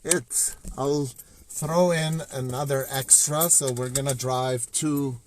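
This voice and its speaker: Man, Slovenian accent, mid-pitched voice, casual tour-guide chatter, car and traffic noise behind. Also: fairly even intonation, slow pace. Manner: chatty, loose, hesitant with many fillers. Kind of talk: live-streamed driving city tour. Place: inside a car, later outdoors on a city street.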